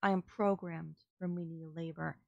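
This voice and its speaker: Casting: actress as female android servant for audio roleplay, polite and emotionless, lowish voice, very measured and halting, dry close sound. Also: steady tone, creaky, dark tone, no echo, lo-fi sound. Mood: confused, inquiring. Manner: formal, stilted, halting, literal delivery. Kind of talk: scripted audio roleplay, ASMR.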